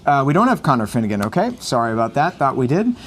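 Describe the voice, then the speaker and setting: A man's voice: mid-range voice, very animated, quick pace, slight background noise. Man anchoring a live news desk. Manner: conversational, with frequent "uh" fillers.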